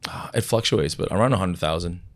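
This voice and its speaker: A man from Aruba, a native Papiamento speaker, chats loosely on a podcast. His voice sits very low. He wanders through his stories with plenty of fillers. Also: quick pace. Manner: rambling storyteller with frequent fillers. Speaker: DJ guest. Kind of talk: casual podcast chat.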